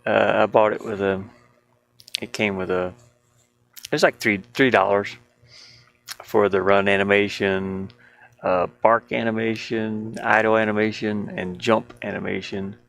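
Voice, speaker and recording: Low-voiced man, amused and rambling. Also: steady tone, measured pace, vocal fry, long pauses, fairly bright tone. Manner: amused, chuckly, rambling, thinking aloud. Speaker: indie game developer. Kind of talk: casual screen-share commentary while building a 2D game.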